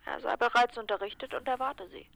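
A woman's voice: on the high side, fairly quick, creaky, a bit bright, rough recording. A woman acting in a German radio crime play as a detective's secretary, polite, cool and dryly witty. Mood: cool, arch. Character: cool, quietly teasing female detective's secretary.

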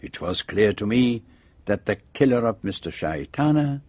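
A shrewd foreign sleuth in a radio mystery, speaking with a French-speaking accent in a close, dry sound. His voice is slightly husky, and he sets out each point precisely, with theatrical self-satisfaction. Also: fairly low pitch, lively intonation, moderate pace, darkish tone, dry recording. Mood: triumphant explaining. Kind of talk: radio drama acting.

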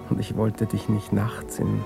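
Over clearly audible music, a male musician in a radio drama, a smooth seducer with a gravelly edge to his voice. He talks casually and evasively, hesitating as he placates his lover. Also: lowish voice, monotone, takes it slowly, exaggerated voice. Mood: evasive, hushed. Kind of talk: German radio play acting.

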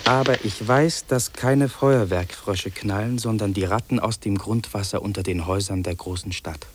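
An actor playing a lonely, fearful city man in a 1950s German radio drama, speaking in solemn, deliberate, incantatory phrases. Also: lowish voice, a little hiss or hum, close to an everyday voice. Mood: ominous. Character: lonely, fearful, brooding city man listing what he hears. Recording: old radio play on cassette tape.

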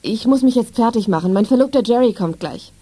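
A woman's voice: a little high, expressive, brisk, dark tone, close to an everyday voice. An actress playing a cool, offhand, unsentimental young woman in a children's radio play. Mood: cool, dismissive. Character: Katrin, cool, unsentimental young woman, secret cat thief. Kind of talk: 1980s German children's radio play acting.

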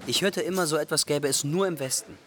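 A man's voice: on the high side, fast, a bit bright, close to an everyday voice. A man playing a wry, hopeful young Iraqi refugee narrating in a radio play. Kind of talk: scripted first-person radio play narration.

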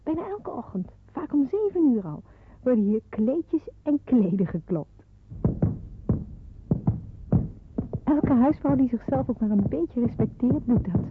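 A woman's voice: sits high, sing-song, moderate pace. A woman playing a young refugee girl who narrates her wartime youth in a radio drama. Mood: amused. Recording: noisy, narrow-band old radio recording.

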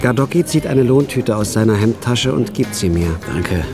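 An actor playing a tired, cynical night clerk who narrates in a dry, wry way in a radio drama. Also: male voice, medium pitch, expressive, normal speed, close to an everyday voice. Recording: music bed under the voice.

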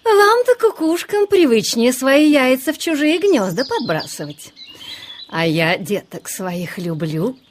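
A woman's voice: high voice, sing-song, unhurried, slight background noise. An actress playing a proud, caring mother partridge in a children's audio play, self-assured and a little reproachful.

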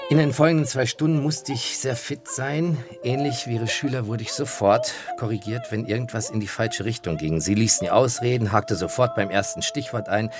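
A man's voice: mid-range voice, normal speed, hardly any pauses. A man narrating personal reminiscences as author of a radio feature. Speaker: author and narrator, former magazine editor-in-chief. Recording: music behind the voice. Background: music.

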